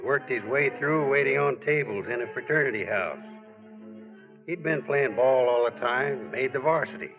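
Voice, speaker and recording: An actor playing a plain-spoken, friendly sportswriter, narrating in a conversational, reminiscing way in a 1940s radio drama. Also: male voice, mid-range voice, normal speed, lightly acted. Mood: reminiscing, fond. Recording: dull old radio recording, music behind.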